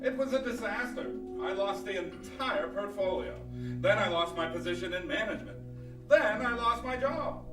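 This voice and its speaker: A high, melodramatic voice of a hapless businessman, acted by a man, lamenting in a radio ad skit. Music plays behind him in a roomy, distant stage recording. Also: expressive, moderate pace. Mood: despairing. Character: despairing, hapless failed business employee.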